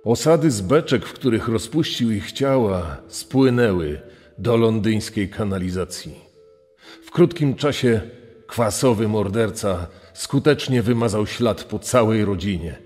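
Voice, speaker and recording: A man with a fairly low voice delivers scripted documentary commentary. He sounds set in a long reverb, with music behind him. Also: lively intonation, unhurried, a little mellow.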